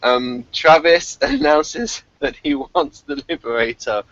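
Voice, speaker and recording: A man hosting a review show in casual chat, excitable and prone to chuckling as he talks. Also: a little high, takes it slowly, no echo. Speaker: podcast host of a TV review show. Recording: dull, lo-fi, dry recording.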